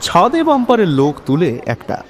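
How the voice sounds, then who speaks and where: Animated Bengali male storyteller in long reverb. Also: high voice, a little fast, slight background noise. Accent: Bengali from West Bengal. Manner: expressive, conversational, with rhetorical questions. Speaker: narrator of an audio love story. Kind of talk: dramatic scripted storytelling, addressing listeners. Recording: long reverb with music behind.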